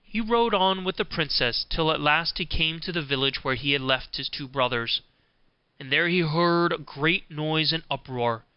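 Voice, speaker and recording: A man narrating a scripted fairy tale as an audiobook, in a measured storybook manner. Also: a little high, smooth, dry recording, lo-fi sound.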